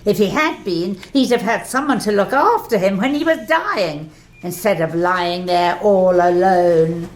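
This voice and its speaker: A woman's voice: mid-range voice, a little slow, natural voice. An actress in a community radio play, playing a brazen, callous charwoman with a colloquial, gossiping way of talking. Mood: scornful. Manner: colloquial, indignant, swooping.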